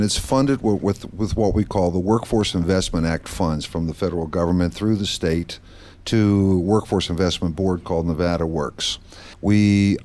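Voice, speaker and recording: A middle-aged man from the Netherlands speaks in a very low voice, answering interview questions on a radio set. He explains things steadily and methodically, stopping now and then to restart a phrase. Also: darkish tone. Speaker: guest, branch manager of a nonprofit. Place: studio set in a mall.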